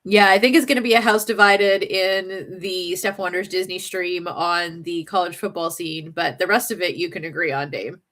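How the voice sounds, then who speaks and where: A woman's voice: fairly low pitch, steady tone, moderate pace, hardly any pauses, a bit bright. A woman with a slight Southern accent, hosting a casual livestream chat in a rambling, chatty way. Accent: slight Southern American. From northern United States. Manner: chatty and rambling, many fillers and giggles. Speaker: livestream host.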